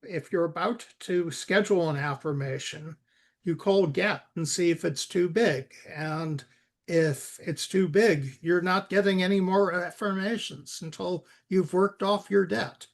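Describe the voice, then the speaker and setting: Male coding mentor, fairly high voice, unhurried and halting delivery, informal step-by-step instruction. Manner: halting, repeats words, spells things out. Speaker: software expert guiding a coding session.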